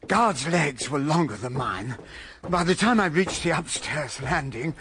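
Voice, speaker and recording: A sober English gentleman in a period radio mystery. He speaks slowly and with restraint, in a slightly high voice with varied intonation. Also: animated, noisy background. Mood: reflective. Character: sober gentleman guest recounting a murder mystery. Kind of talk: period radio drama acting and narration.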